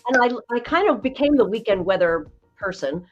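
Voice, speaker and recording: Slightly low-voiced woman in her sixties. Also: expressive, moderate pace, dull audio, soft background music. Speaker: voice coach guest. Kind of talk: conversational podcast interview.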